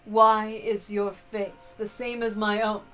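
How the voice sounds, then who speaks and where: A woman performs a haughty elder-sister witch from a visual novel. Her medium-pitched voice is formal and imperious, full of arrogance. Faint music sits underneath. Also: level tone, takes it slowly, fairly bright tone. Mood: haughty, challenging. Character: haughty, self-proclaimed elder-sister golden witch, female. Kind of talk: dramatic visual novel reading.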